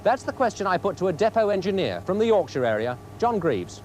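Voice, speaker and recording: A British man presents an old training film in an articulate, questioning way. His intonation rises and falls in a lively manner. There is faint noise behind him on the soundtrack. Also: high voice, fairly quick. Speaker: presenter and interviewer. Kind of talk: scripted training film narration and interviewing.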